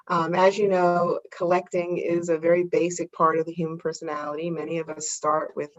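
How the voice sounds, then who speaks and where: A woman hosting an arts talk, with a formal, measured way of speaking. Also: fairly low pitch, poor audio. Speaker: host. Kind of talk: scripted intro and interview questions.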